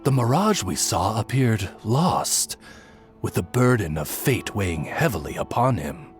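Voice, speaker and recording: An actor playing a grave, courtly retainer in a video game, with formal, elaborate phrasing. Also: male voice, lowish voice, very animated, measured pace, faint music behind. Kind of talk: scripted game voice acting.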